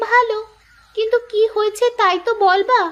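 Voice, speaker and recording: A woman's voice: extremely high pitch, steady tone, moderate pace, fairly bright tone, highs cut off. A woman voicing a sweet, naive and dutiful young village daughter in a cartoon, girlish and polite, over music. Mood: obliging. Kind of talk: cartoon story dialogue. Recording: music under the voice.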